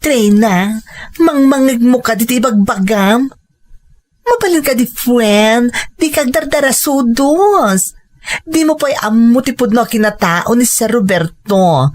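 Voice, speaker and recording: A woman playing an excitable, concerned young best friend who cautions others, in a melodramatic Ilocano radio drama. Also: a little high, slow pace. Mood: worried, cautioning.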